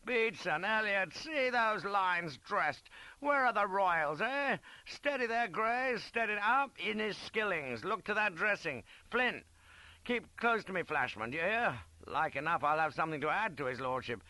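A man voicing a fat old British cavalry general, loud, pompous, impetuous and scatterbrained, in an audiobook. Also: sits high, lively intonation, measured pace, no echo, pitched above the performer's own voice, heavily acted. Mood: blustering, impatient. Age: older. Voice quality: loud, bawling. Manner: pompous, scatterbrained, clipped upper-class officer bluster. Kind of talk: acted character in an audiobook.

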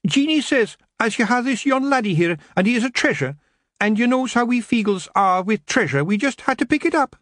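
A tiny brash fairy chief with a Scottish brogue. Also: male voice, very high-pitched, lively intonation, a little fast, fairly bright tone, no room sound, studio quality, pitched above the performer's own voice. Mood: sheepish. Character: tiny boastful male fairy clan chief.

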